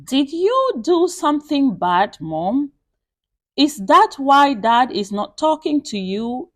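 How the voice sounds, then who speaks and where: A woman's voice: high voice, very animated, slow pace, pitched above the performer's own voice, full character voice. A woman voicing a perceptive young boy in a story, questioning his mother in a probing, suspicious way.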